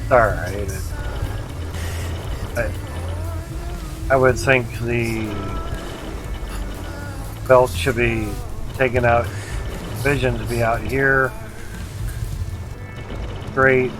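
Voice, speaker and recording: A man's voice: medium pitch, steady tone, drawn-out words, long pauses, music in the background. A man livestreaming a video game with casual, rambling commentary in short clipped phrases. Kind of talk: casual gameplay commentary, chatting with viewers.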